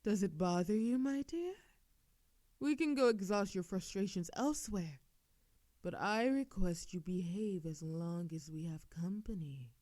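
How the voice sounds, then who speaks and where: Woman as mellow, condescending ancient vampire queen. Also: mid-range voice, expressive, unhurried, dry recording, lightly acted. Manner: formal, patronizing. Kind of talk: ASMR audio roleplay acting.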